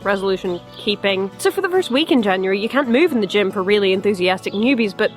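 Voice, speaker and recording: A woman's voice: mid-range voice, expressive, quick pace, soft background music. A woman hosting a scripted but chatty review, speaking in a casual, wry, dryly joking way. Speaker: host of a review show.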